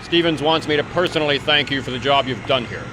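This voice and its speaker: An actor voicing a cold male villain boss giving calm, menacing orders. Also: mid-range voice, quick pace, background noise, lightly acted.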